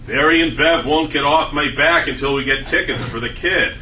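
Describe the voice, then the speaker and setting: Man acting a blustery, high-pitched suburban dad. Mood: gruff. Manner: blustery, sarcastic, quick to shout. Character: gruff, exasperated suburban father who dotes on hockey. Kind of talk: comedy script table read. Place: table read in a room. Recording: noisy echoey room from a distance.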